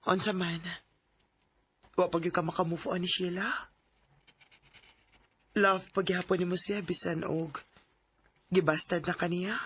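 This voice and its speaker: A man's voice: sits high, flat delivery, a little slow, pauses often, fairly bright tone, husky, heavily acted. A man playing a tender, earnest young woman in love in a sentimental radio drama, with a Bisaya Filipino accent. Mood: concerned, pleading. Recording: music under the voice.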